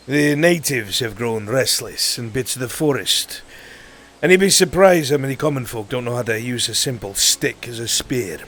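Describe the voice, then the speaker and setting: A man playing a formal, blunt travelling government recruiter in an online roleplay, measured and faintly condescending. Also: medium pitch, natural voice. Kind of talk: improvised roleplay acting in a virtual world. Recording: music behind.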